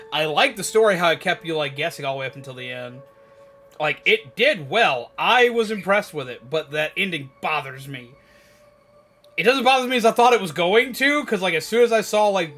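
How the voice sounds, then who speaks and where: A male live streamer with a slightly high, very bright and forceful voice. He rambles casually to his viewers with animated emphasis and strong opinions. Music plays behind him. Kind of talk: casual rambling chat with viewers while gaming.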